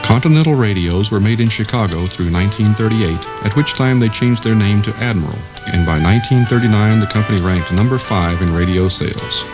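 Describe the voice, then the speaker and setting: A man's voice: fairly low pitch, expressive, fairly quick, hardly any pauses. A man reading scripted documentary narration in the role of narrator. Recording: music behind the voice. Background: instrumental music.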